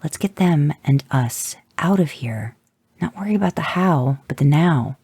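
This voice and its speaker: A man voicing an earnest younger brother who hunts monsters, pressing the others to move, slightly above his own pitch. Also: animated, measured pace, darkish tone, breathy edge, no echo, exaggerated voice. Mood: urgent.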